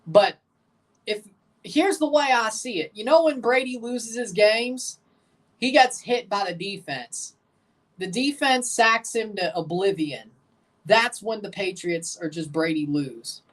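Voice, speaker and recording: Man, low voice, young adult, blunt livestream commentary, a bit off-mic, voice leaps up when worked up. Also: a little slow, recorded from a distance, low-quality recording. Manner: opinionated, blunt hot takes; excitable, jumps high in pitch when agitated. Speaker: livestream host reacting to a soccer match. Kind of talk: casual livestream commentary, answering chat questions.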